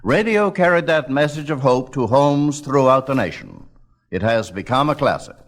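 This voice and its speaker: A man delivering scripted documentary narration in a measured, dramatic storytelling manner. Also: mid-range voice. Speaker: narrator of a radio history album.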